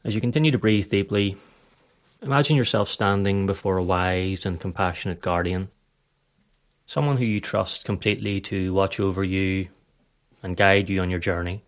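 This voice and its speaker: Low-voiced man guiding a meditation. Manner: calm, measured, instructional. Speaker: guided meditation narrator. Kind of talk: scripted guided meditation narration.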